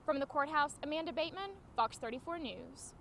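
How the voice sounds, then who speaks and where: Female TV reporter, high-pitched delivery of scripted news stories, faint background noise. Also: animated, a little fast, gravelly, fairly bright tone.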